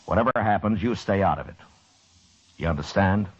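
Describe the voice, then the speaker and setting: An actor playing a steady, stern U.S. marshal with dry humor and a laconic, firm manner in an old time radio western. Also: male voice, low-pitched, a little fast, over loud music, close to an everyday voice. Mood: stern, commanding. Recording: muffled, faintly noisy old radio recording.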